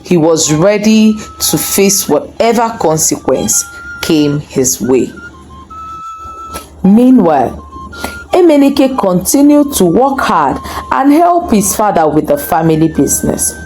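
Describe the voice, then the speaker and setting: A woman narrating a scripted folktale in an expressive, deliberate storytelling manner. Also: medium pitch, soft background music, low-quality recording.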